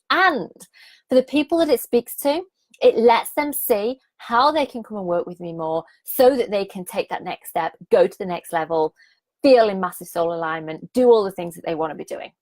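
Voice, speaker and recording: A woman in her thirties, a bit croaky, hosting a live-streamed business coaching challenge, enthusiastic and repetitive, asking viewers to comment and laughing often. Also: medium pitch.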